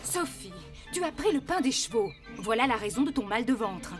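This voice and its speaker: A woman's voice: on the high side, big pitch swings, moderate pace, distant mic, over music. A woman playing an elegant, strict but caring cartoon mother, speaking in a proper, articulate, chiding manner. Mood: concerned, explaining. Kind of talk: French animated series dialogue.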